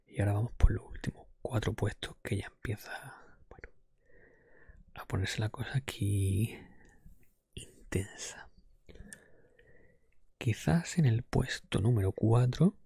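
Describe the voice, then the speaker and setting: A man from delivers ASMR in a very breathy, hushed whisper. His low voice ambles along conversationally and unhurriedly, captured close to the mic with soft mouth clicks. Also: measured pace, long pauses, no echo. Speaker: ASMR podcast host. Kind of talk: ASMR, improvised ranking of video games.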